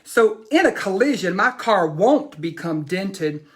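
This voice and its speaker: A woman's voice: mid-range voice, lively intonation, a little slow, natural voice. A woman voicing an imaginative, proud boy from a storybook, showing off his dream in an emphatic, showman-like read-aloud. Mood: eager, showy.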